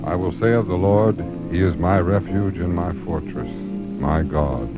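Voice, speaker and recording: Man, low voice, reverent gospel radio sign-off, varied intonation, dull old recording, loud music. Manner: measured, reverent. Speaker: gospel group spokesman. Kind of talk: solemn recitation and radio sign-off.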